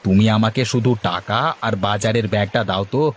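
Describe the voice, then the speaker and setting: A man voicing an obliging, calm village shopkeeper in a cartoon, accommodating and slightly halting. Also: on the low side, slight background noise, voice pushed down, natural voice. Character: obliging village grocer, male, calm and busy. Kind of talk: Bengali cartoon dialogue.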